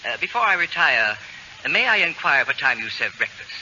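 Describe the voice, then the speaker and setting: A pompous genius of a babysitter, formal and disdainful. His tone is piercingly bright and his pitch moves in lively swoops. It is 1940s radio comedy, on a dull, slightly noisy old recording. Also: fairly quick, full character voice. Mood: coolly condescending. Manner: haughty, precise, formal, condescending. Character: pompous, fussy, self-declared genius male babysitter who dislikes children.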